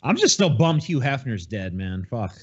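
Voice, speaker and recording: A man's voice: mid-range voice, big pitch swings, normal speed, husky, highs cut off. A man co-hosting a podcast, chatting casually in a blunt, profane, rambling way. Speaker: podcast co-host. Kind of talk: casual chat.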